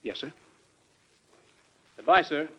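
An actor playing a president's proud, defiant grown son in an old-time radio drama, clipped and defensive. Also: male voice, takes it slowly, close to an everyday voice. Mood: subdued, stiff. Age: young adult. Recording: dull, band-limited old radio recording.

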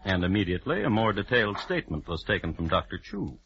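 A man's voice: low voice, sing-song, fast, gravelly, heavily acted. A man narrating an old-time radio crime drama with formal diction and dry irony. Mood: dry, ironic. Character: formal, drily ironic narrator of a true-crime story. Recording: muffled, noisy old radio recording.

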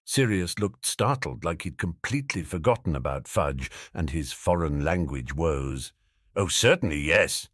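A man's voice: extremely low pitch, animated, moderate pace, hardly any pauses, no room sound, studio quality. A man reading scripted fiction aloud as an audiobook narrator.

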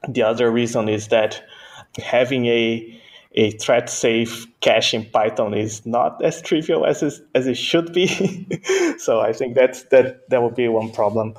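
Brazilian man, Portuguese native speaker, guest engineer; gravelly, halting, laughs under his breath. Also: mid-range voice, animated. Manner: hesitant, frequent restarts and soft laughs. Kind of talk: casual interview answers.

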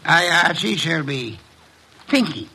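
An actor playing an imperious, sardonic genius detective in an old-time radio drama, speaking in a precise, theatrical and pompous way. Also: male voice, fairly high pitch, lively intonation, slow, gravelly, music in the background, full character voice, low-quality recording. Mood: dry, superior.

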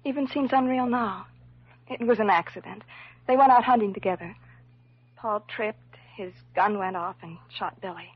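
A worried, loyal young woman played by an actress speaks in a husky, medium-pitched voice. Her delivery is earnest and emotional, in classic radio drama style, on a muffled old recording. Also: normal speed, dry recording, lightly acted. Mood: sorrowful.

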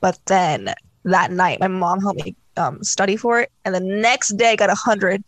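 A woman's voice: mid-range voice, moderate pace, dry recording. A woman from South Korea chatting casually and telling personal stories. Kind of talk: casual chat.

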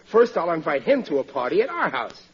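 An actor playing a hapless, stubbornly optimistic working-class husband in a 1940s radio sitcom. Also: male voice, a little high, big pitch swings, fairly quick, husky, heavily acted. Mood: eager, boastful. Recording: dull vintage 1940s radio recording.

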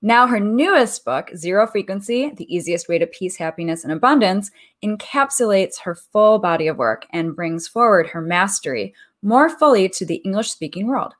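A woman hosts a talk radio show in a friendly, upbeat way. Her voice sits in an ordinary middle pitch. She reads an introduction smoothly before moving into questions. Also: expressive, normal speed. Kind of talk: interview host reading a guest bio.

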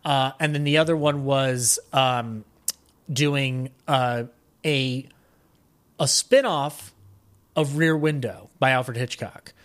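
A man's voice: mid-range voice, steady tone, takes it slowly, fairly bright tone. Male podcast guest, mid-range voice, casual and rambling storyteller with a film buff's enthusiasm.

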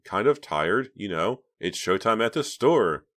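A man's voice: lowish voice, animated, unhurried, a bit bright, voice pushed up. A man voicing a spoiled, scheming teenage girl who puts on a falsely sweet tone, in an audiobook reading.

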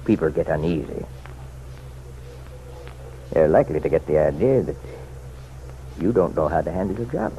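A muffled, slightly hissy vintage radio recording carries a nosy small-town man with a deep voice. He is folksy and chummy on the surface, insinuating and persuasive underneath, and his pitch swings in a lively way. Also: normal speed, long pauses. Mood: warning, pressing. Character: insistent, insinuating townsman, nosy self-appointed helper to the sheriff. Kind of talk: old-time radio drama acting.

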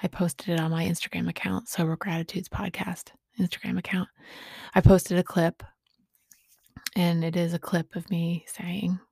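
A woman in her late forties speaks in a low, fairly flat voice with a gravelly fry at the edges. She talks reflectively about personal things, pausing often between short phrases. The sound is close and dry. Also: no room sound. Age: late 40s. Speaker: podcast host speaking on sobriety.

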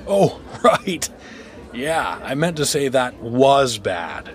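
A man's voice: mid-range voice, very animated, slow pace. An actor in an audio drama playing a bewildered, reluctant father, hesitant and incredulous in his delivery. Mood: sheepish. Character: bewildered, reluctant everyman dad in an arena.

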